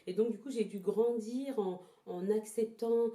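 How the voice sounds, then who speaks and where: A woman from Togo who grew up partly in France gives reflective, personal interview answers, searching for her words with pauses and repetitions. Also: on the high side, dark tone. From born in Togo, lived in Ghana, moved to France as a child. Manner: hesitant, halting, repeats words as she searches for phrasing. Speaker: interview guest, traditional therapy practitioner. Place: indoors in a house. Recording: roomy, echoing indoor sound.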